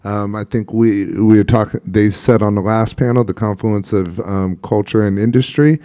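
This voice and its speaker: A man's voice: lowish voice, steady tone, normal speed, dark tone. A man speaking on a university panel in a reflective, hesitant way, with frequent fillers and restarts. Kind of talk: panel discussion.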